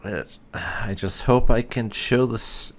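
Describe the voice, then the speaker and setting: A man doing roleplay commentary on a game playthrough, musing and hesitant with hums and pauses. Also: medium pitch, fairly even intonation, slight background noise. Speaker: gaming video host.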